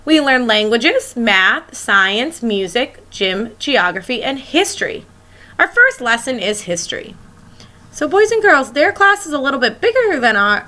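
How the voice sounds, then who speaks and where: A woman's voice: fairly high pitch, sharp tone. A woman schoolteacher reading a children's picture book aloud, expressively and as if speaking directly to young listeners.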